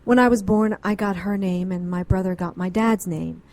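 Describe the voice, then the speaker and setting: A woman's voice: mid-range voice, moderate pace, a little mellow, natural voice. An actress playing an anxious, educated middle-aged woman client in a noir radio drama, earnest and pleading. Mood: earnest, rambling.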